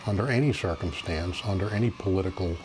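A man in his sixties, a retired federal agent, recalling events in a formal, measured interview manner. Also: low voice, noisy background. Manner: formal, measured, official phrasing. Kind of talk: interview recollection. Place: small private office, indoors.

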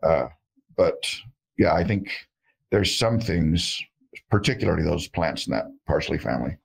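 A man's voice: a bass voice, lively intonation, a little slow, creaky, slightly rough. A middle-aged man, an herbalist guest on a video call, talking in a folksy, joking, rambling way with many fillers. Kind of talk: casual interview talk. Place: indoor room with shelves of jars.

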